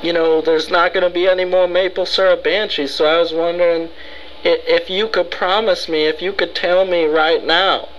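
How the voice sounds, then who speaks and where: A man putting on a frightened, rambling, easygoing fellow who pleads haltingly and melodramatically for help in an improvised prank call. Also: high-pitched, flat delivery, a bit bright, muffled recording. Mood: shaken, pleading. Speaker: prank caller.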